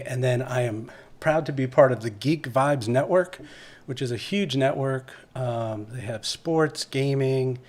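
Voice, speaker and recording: A man hosting a live podcast, chatting informally, plugging sponsors and interviewing guests in a casual, promotional way. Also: medium pitch.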